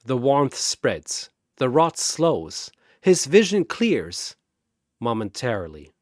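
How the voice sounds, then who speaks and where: A man telling a scripted battle story in a measured, dramatic manner. He takes his time, and his pitch moves a lot through each line. The recording is dry and close. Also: big pitch swings, no room sound. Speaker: narrator.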